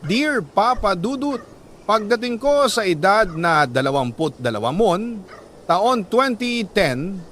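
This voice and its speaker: A Filipino man reading a listener's letter as a dramatic narration, in slow, deliberate, emotive phrases with pauses. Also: high-pitched, sing-song, fairly bright tone, a little hiss or hum. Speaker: radio-style host reading listener letters.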